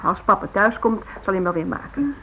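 An actress playing a brisk, practical, mildly exasperated housewife and mother in a radio drama. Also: mid-range voice, animated, natural voice. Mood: brisk, chiding. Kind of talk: radio drama acting, domestic dialogue. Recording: dull old radio, faint noise.

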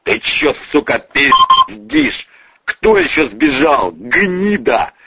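A man's voice: mid-range voice, huge pitch swings, takes it slowly, creaky, highs cut off, very noisy, heavily acted. Man acting a snarling, brutal gangster boss. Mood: furious. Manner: snarling, swearing, threatening. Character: brutal, foul-mouthed gangster boss, menacing and explosive.

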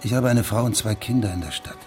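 An actor playing a weary, evasive judge in a German radio drama, speaking in a measured, restrained way. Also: male voice, fairly low pitch, natural voice. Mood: measured, defensive. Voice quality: gravelly. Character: weary, evasive male judge leaving a village. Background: music.

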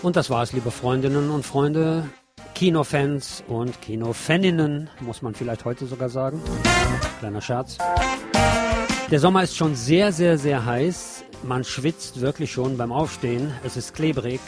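A man's voice: mid-range voice, a little slow, over music. A man hosting a radio show, reading a film review from a script with chatty asides to his listeners.

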